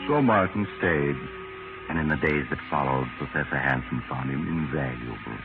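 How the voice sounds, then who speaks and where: An actor playing an ominous narrator of a sinister tale, measured, in 1940s radio mystery style. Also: male voice, rumbling low. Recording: narrow-band vintage radio recording. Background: music.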